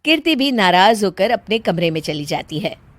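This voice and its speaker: A woman's voice: medium pitch, very animated, moderate pace, dry recording, studio quality. A woman narrating a scripted moral story as its storyteller.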